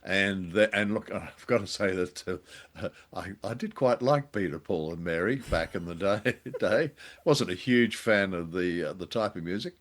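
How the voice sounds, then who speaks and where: Man, podcast co-host, fairly low pitch, casual review chat, wry, playfully exaggerating. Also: expressive, normal speed.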